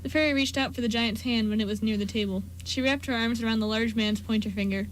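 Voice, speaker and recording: A woman with a fairly high voice reads story narration from a script for a radio play. A faint hum sits behind her. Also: fairly even intonation, fast talker, rough recording.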